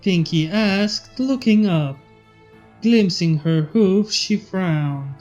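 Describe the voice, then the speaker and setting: Young woman narrating over soft music. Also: on the low side, slow pace. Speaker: fanfiction reader and channel host. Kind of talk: story narration. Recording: slightly dull audio, soft background music.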